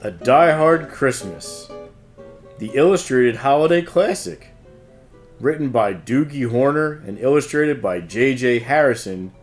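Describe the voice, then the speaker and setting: A man reading a rhyming holiday storybook aloud in an expressive, measured way. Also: mid-range voice, soft background music. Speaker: host and storybook reader. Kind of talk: read-aloud storybook narration.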